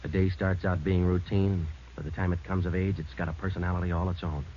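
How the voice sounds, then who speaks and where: An actor playing a terse, weary homicide sergeant in a radio police drama, clipped and low-key. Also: male voice, level tone, fast talker, close to an everyday voice. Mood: grim, flat. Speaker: narrator and lead actor. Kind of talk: 1940s-50s radio police drama acting. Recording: muffled, noisy old radio recording.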